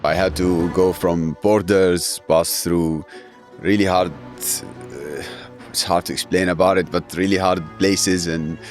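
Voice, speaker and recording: A man in his thirties, raised in Syria, speaking English as an in an earnest, halting way with many fillers. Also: low voice, fairly even intonation, soft background music. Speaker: guest. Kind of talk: emotional interview.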